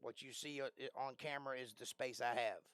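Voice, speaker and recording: A man with a raspy voice that he says he is losing, chatting casually as a livestream host from a small bedroom, often clearing his throat. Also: medium pitch, fairly even intonation, fairly quick, fairly bright tone, no echo. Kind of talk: casual live-stream chat. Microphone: close desk microphone.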